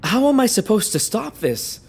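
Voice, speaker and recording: A man's voice: sits high, very animated, moderate pace, gravelly, darkish tone, husky, heavily acted. A man playing a distressed, indignant lover who feels trapped, incredulous and accusing, in an audio drama. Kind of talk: naturalistic audio drama acting.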